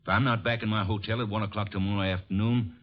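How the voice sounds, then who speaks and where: An actor playing a bitter, vengeful man on the run, halting but insistent, in old radio drama style. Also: fairly low pitch, fairly even intonation, a little hiss or hum, close to an everyday voice. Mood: grim, determined. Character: bitter, vengeful drifter wrongly blamed for a killing. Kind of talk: 1950s radio drama acting. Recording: muffled old radio recording.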